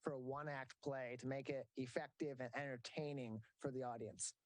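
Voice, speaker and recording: A man's voice: mid-range voice, steady tone, moderate pace, dry recording, studio quality. A man hosting an interview, asking long, winding questions with some fillers. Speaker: host.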